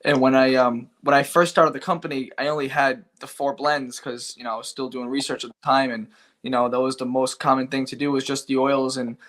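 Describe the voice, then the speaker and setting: A man's voice: mid-range voice, little pitch movement, brisk, fairly bright tone. A man with a Rhode Island accent, a company founder talking casually as a guest, rambling and full of fillers. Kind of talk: casual interview talk.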